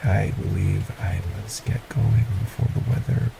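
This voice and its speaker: A man voicing a polite, sinister male stranger in an audio roleplay, speaking formally and courteously with a soft, breathy menace. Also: on the low side, steady tone, unhurried, noisy background. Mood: smooth, courteous.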